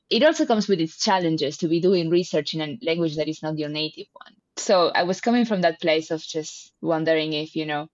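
A woman's voice: low voice, no echo, highs cut off. A young woman from Argentina with an Argentine accent and Spanish as her first language, a podcast guest talking thoughtfully with frequent restarts. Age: young adult. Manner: thoughtful, many restarts, laughs easily. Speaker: podcast guest, PhD student. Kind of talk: casual interview conversation.

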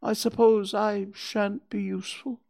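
A storyteller voicing a slow, humble, friendly but dim bear in a children's storybook, with a hesitant, earnest manner. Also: mid-range voice, animated, gravelly, voice pushed up, exaggerated voice. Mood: sad.

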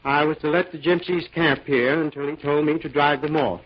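A man acts a nervous, apologetic farmer from a vintage radio play. He speaks haltingly in a slightly high voice. The sound is dull and aged. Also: steady tone, lightly acted. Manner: hesitant, apologetic. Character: nervous, meek male tenant farmer. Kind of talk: 1940s radio acting. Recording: muffled old radio recording.

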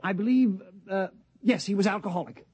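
A man's voice: high-pitched, brisk, a little mellow, breathy edge, no echo. A man playing a flustered, deferential shop clerk, hesitant and stammering, in a 1950s radio drama. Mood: nervous, hesitant. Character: nervous, eager, deferential male shop clerk.

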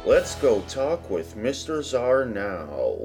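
A man's voice: mid-range voice, big pitch swings, takes it slowly, a little mellow, faint music behind. A man hosting a podcast, introducing his guest and politely reading out prepared interview questions.

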